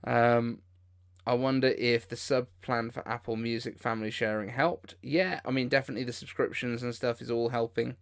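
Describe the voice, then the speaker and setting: A man's voice: mid-range voice, fairly even intonation, moderate pace, gravelly. A man hosting a casual livestream, reacting in an informal, incredulous way as he comments. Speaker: livestream host.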